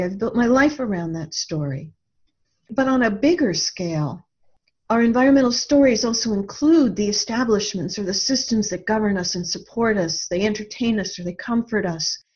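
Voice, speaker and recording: A woman with a mid-pitched voice talks reflectively, as a guest explaining her own ideas. Her delivery is earnest and deliberate, leaning on repeated words for emphasis. The audio is a little dull and lo-fi. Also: a little mellow. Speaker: author and podcast guest.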